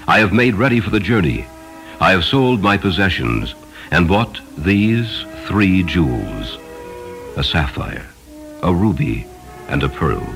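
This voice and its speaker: Devout pilgrim magus played by a man, deep and expressive, measured and earnest, storybook style over music. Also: exaggerated voice. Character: devout, hopeful Persian magus setting out on pilgrimage. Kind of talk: dramatic storybook reading.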